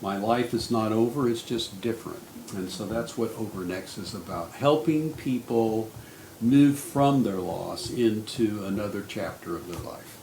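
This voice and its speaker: An older man speaking as a marriage counselor in a casual panel discussion, thoughtful and measured with frequent restarts. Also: on the low side, vocal fry, a little mellow. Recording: echoey room, faint noise.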